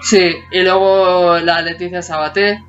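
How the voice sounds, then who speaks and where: A woman's voice: on the low side, flat delivery, unhurried. A woman in her forties co-hosting a podcast, chatting casually, laughing often and humming bits of old tunes. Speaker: podcast co-host. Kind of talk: casual chat about childhood TV songs. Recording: dull lo-fi sound with faint music behind.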